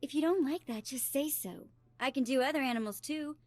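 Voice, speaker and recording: An actress playing a cheeky, taunting captive in a video game, with a mocking, playful manner. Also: female voice, high voice, fairly quick, dry recording, lightly acted. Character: cheeky, taunting female captive mimicking animals. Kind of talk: scripted video game dialogue. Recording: music behind the voice.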